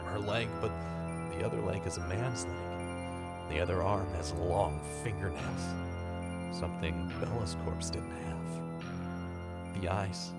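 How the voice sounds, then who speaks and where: A man playing a grave, fearful investigator hunting a monster, halting and dramatic, in a vintage-style radio drama. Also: deep voice. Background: loud dramatic music.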